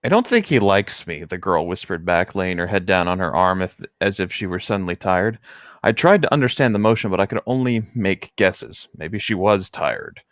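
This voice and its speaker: Male co-host, low and animated, closely recorded, reading fiction aloud with hesitant, chuckly banter. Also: normal speed, dry recording, clean studio sound. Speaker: co-host of a book read-aloud show. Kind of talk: reading a novel aloud with casual joking banter.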